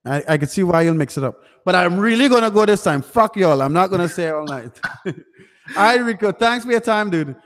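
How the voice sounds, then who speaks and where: A man's voice: sits high, animated, brisk. A man from Trinidad hosting a casual livestream chat, joking and swearing freely. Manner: profane, joking banter. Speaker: YouTube host. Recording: reverberant, hall-like echo.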